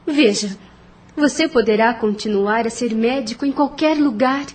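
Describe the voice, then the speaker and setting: A woman in an audio novel playing the gentle, caring spirit of a dead wife and mother. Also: on the high side, normal speed, distant mic, close to an everyday voice. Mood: pleading, caring. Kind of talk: audio novel dramatic acting.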